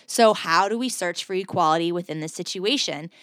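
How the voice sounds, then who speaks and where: A woman's voice: fairly low pitch, fairly quick, a bit bright, no echo. A woman hosting a podcast interview, speaking earnestly and conversationally, often saying "I mean.". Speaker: interviewer and host.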